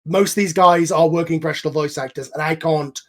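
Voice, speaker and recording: A man's voice: on the high side, fairly even intonation, quick pace. A man hosting a casual online group chat, rambling informally and swearing now and then. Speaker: host and series creator. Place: online voice call.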